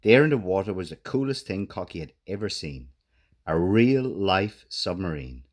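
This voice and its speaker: A man reading a children's storybook aloud as an expressive narrator. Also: low-pitched, normal speed. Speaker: author reading own children's book.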